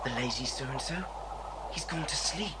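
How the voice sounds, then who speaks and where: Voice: mid-range voice, normal speed, heavy background noise, natural voice. A performer playing a confident, plucky boy in a children's adventure drama. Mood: hushed excitement. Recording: cassette drama with loud sound effects.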